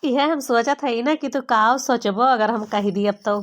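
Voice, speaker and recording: A woman's voice: on the high side, measured pace, natural voice. A woman voicing a young village daughter-in-law with an Awadhi accent, complaining dramatically and colloquially in an amateur cartoon comedy. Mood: shy, hesitant. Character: exasperated, embarrassed young village daughter-in-law, gossipy and scolding.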